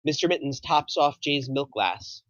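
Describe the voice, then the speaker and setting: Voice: mid-range voice, lively intonation, normal speed, no room sound. A narrator reading out stage directions from a film script at a table read. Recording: dry but dull, muffled audio.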